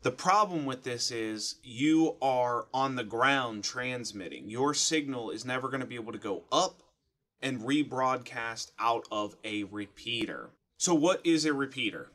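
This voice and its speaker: A man's voice: mid-range voice, expressive, a little slow, a bit bright. A man in his thirties with an American accent, giving a casual, plain-spoken explanation to camera as the host of a how-to channel. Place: small furnished room at home, indoors.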